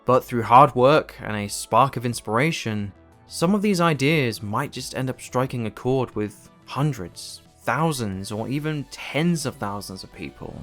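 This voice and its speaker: A man's voice: medium pitch, lively intonation, unhurried, a bit bright, soft background music. A man narrating a scripted video essay in an earnest, emphatic and casual way, as the host of his channel.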